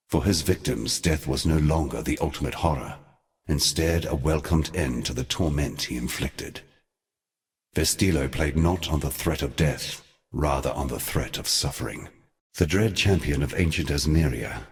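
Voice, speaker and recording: Ancient god, extremely deep voice, brooding, eloquent, solemn deliberate diction, theatrical fantasy game monologue. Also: male voice. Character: ancient grave god, former lover of gods, brooding and eloquent.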